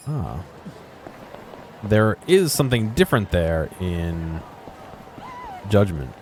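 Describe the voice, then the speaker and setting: A man's voice: sits low, sing-song, slow, darkish tone, a little hiss or hum. A man giving casual, unscripted commentary while exploring a game, musing and thinking aloud as he goes. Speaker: gameplay video host.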